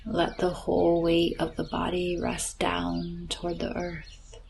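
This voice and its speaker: A woman guiding a relaxation meditation in a gentle, soothing, often breathy voice with long unhurried phrases. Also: fairly low pitch, monotone, slight background noise. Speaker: yoga nidra meditation guide. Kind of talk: slow guided relaxation meditation. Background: chirping birds, nature ambience.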